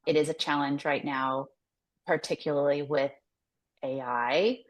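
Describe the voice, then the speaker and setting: An American woman with a low-pitched voice talks as a career coach being interviewed. She explains things conversationally, softening her points with loose, informal phrasing. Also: steady tone, measured pace. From United States. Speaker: career coach, podcast guest.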